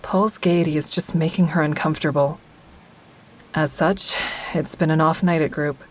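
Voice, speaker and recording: A woman's voice: low-pitched, steady tone, moderate pace. A woman acting as the wry, observant first-person narrator of an audio drama, a member of a support group. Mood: wry, uneasy. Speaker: narrator and character.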